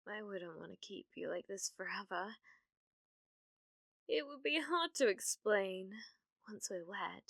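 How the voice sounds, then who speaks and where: A woman's voice: mid-range voice, a little slow, gravelly, long pauses, a bit bright, no echo, clean studio sound, voice pushed down. A British woman playing an obsessive, clumsy, lovestruck witch in an ASMR roleplay, cooing breathily and often slipping into whispers. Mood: smitten, scheming. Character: obsessive, clumsy, lovestruck young witch, doting yet menacing.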